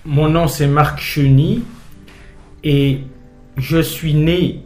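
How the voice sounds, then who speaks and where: A middle-aged man from Switzerland, a pastor being interviewed on the radio, speaking thoughtfully with frequent pauses and hesitations. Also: on the high side, fairly even intonation, darkish tone, roomy sound, faint music behind.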